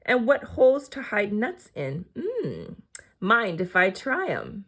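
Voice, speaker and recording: A woman's voice: mid-range voice, huge pitch swings, slow, fairly bright tone, heavily acted. A woman voicing a sly, eager little male squirrel in a children's storybook.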